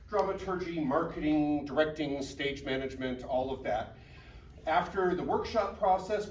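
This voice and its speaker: A man, a university teacher, giving an informal welcome speech to an audience before a show. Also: on the high side. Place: indoor performance room, distant mic. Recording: echoey distant auditorium recording, faint noise.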